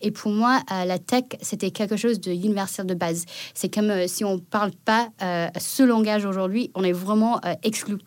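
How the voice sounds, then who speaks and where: A woman in her late twenties, raised in the United States, speaking haltingly with many hesitations as a podcast guest. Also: medium pitch, fairly even intonation, a little mellow, no echo. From China, raised in the United States. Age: late 20s. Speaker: guest, social start-up founder. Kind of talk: podcast interview.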